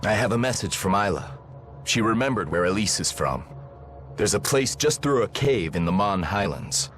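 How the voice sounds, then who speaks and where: A man's voice: on the low side, very animated, normal speed, a bit bright. A man voicing an earnest, courteous grown man in an anime game, with a polite, sincere manner. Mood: informative. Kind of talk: anime game dub acting. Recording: music behind.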